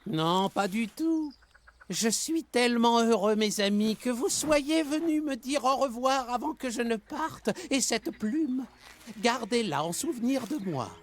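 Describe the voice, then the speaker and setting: A woman playing a gentle, grateful male bird in a children's cartoon, speaking in an unhurried way. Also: a little high, expressive. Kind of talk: French children's cartoon dub. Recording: loud music under the voice.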